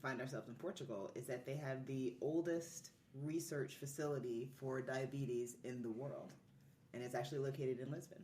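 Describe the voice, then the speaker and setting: A woman's voice: very deep voice, fairly even intonation, normal speed, mellow, reverberant, far from the mic. A woman speaking as a podcast guest in casual chat, recorded away from the mic in a noisy room.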